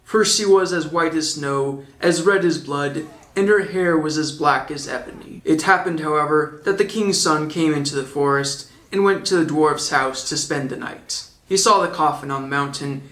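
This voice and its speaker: Male storybook narrator, higher-than-average voice, informal audiobook read in a reverberant room. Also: normal speed, few pauses, fairly bright tone. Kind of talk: storybook narration with joking asides.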